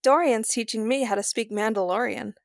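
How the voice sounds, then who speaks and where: A woman's voice: fairly high pitch, fairly quick, vocal fry, dry recording, clean studio sound. A woman playing a young, curious, casual slicer sidekick in a sci-fi audio drama.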